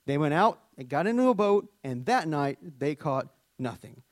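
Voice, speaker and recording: A middle-aged man with a Southern US accent preaches in a chatty, animated way, dropping jokes between scripture readings. His voice sits a bit high for a man. The sound is dry and close. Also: moderate pace, dry recording. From Arkansas, US. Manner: chatty, joking asides, animated storytelling. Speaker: worship leader and preacher. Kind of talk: conversational sermon with scripture reading.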